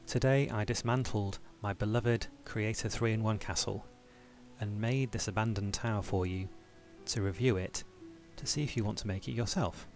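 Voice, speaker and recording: A man with a low voice and a gravelly, fry-edged texture narrates a review for his channel. His delivery is measured and tidy, like a read script. Soft music sits faintly beneath him. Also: steady tone. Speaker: toy-building channel host.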